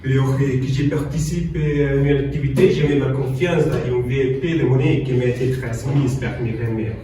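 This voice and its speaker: A man's voice: mid-range voice, steady tone, moderate pace, warm tone. A man speaking as a game show contestant, giving a prepared personal account from far off in an echoey room. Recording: very echoey, distant, faintly noisy room.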